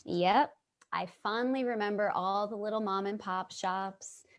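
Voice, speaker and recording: Woman playing a slow, reflective middle-aged New Yorker. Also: mid-range voice, lightly acted. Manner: measured, reflective, storytelling. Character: casually dressed middle-aged woman, born-and-bred Manhattanite narrator, compassionate. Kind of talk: dramatic monologue in a staged play reading. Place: at home on a video call.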